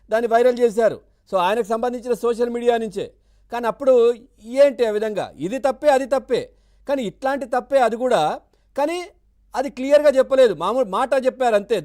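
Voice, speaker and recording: A man's voice: very high voice, dark tone. A man hosting a live political debate on television, interjecting and repeating his points as he moderates. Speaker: TV debate host.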